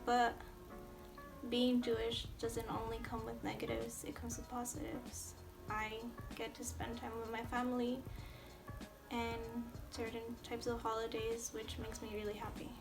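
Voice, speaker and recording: Teen girl, flat steady voice over music. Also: fairly high pitch, a little slow, creaky. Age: teens. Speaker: student. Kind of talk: speaking to camera for a school video. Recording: music playing under the voice.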